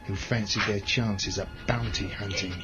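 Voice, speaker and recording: A man's voice: lowish voice, fairly even intonation, moderate pace, muffled recording, loud music behind the voice, lightly acted. An actor playing a cold, cruel, commanding male space commander in a science fiction audio drama, with contempt in his delivery. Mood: cold menace.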